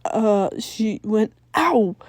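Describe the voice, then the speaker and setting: A woman's voice: mid-range voice, expressive, extremely slow, dry recording. A woman voicing a startled, slightly dopey teenage boy in a story reading, incredulous and stammering. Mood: stammering, evasive.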